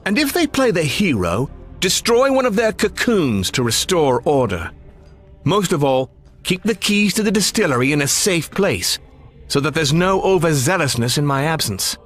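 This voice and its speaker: Pompous governor in a video game, sharp high voice, big hall reverb, sneering contempt. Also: male voice, big pitch swings, moderate pace, soft background music. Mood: brisk, commanding. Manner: pompous, commanding oratory. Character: haughty, contemptuous male governor with supremacist zeal. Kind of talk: video game character acting.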